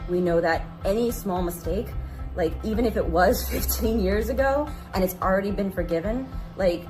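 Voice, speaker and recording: A woman content creator giving an earnest, halting personal apology. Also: mid-range voice. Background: background music.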